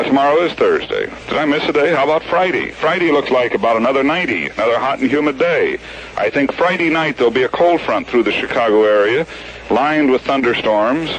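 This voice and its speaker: A man's voice: mid-range voice, poor audio. A man giving a conversational weather forecast as a radio forecaster on a 1970s AM broadcast.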